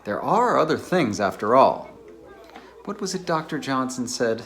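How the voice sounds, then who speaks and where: A man's voice: medium pitch, sing-song, measured pace, fairly bright tone. A man playing a reserved, naive academic bachelor in a dramatized novel, mild and donnish. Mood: mild, earnest.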